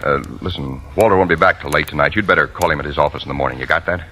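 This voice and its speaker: A man's voice: very deep voice, rapid-fire, heavy background noise. An actor playing a guilty, tense man on the run in a hard-boiled 1940s radio thriller, faltering when nervous. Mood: nervous bluffing. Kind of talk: 1940s radio thriller acting, first-person narration. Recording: vintage radio with loud dramatic music.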